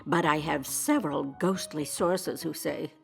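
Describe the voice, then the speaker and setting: A woman playing an eager, gossipy ghost newspaper editor in an audio drama, with a formal, deliberate manner. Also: deep voice, gravelly, soft background music. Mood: deliberate, probing. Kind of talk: theatrical scripted audio drama.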